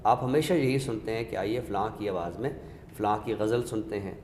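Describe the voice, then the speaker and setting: A man with a Pakistani accent explaining literature in a scholarly, deliberate way, often by posing questions and giving examples. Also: lowish voice, a little mellow. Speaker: literary expert on a talk show. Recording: echoey hall reverb with background noise.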